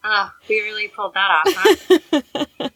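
A woman hosting a podcast in casual chat, chatty and giggly, her voice a little stuffed up and croaky. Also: medium pitch, big pitch swings, unhurried, a crowd in the background, low-quality recording. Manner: chatty and giggly, often laughing. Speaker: podcast host.

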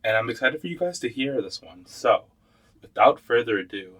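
A man's voice: medium pitch, animated, moderate pace, fairly bright tone, faint music behind. A man hosting a podcast, introducing and questioning his guest in a friendly, casual way with lots of "you know" and "like". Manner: friendly, uses many fillers like "you know" and "like". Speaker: podcast host. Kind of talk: casual interview chat.

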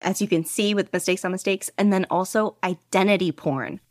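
A woman's voice: mid-range voice, fairly even intonation, fairly quick, a little mellow, no room sound, studio quality. A woman hosting a casual podcast chat, talking enthusiastically with lots of fillers and laughter. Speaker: podcast host.

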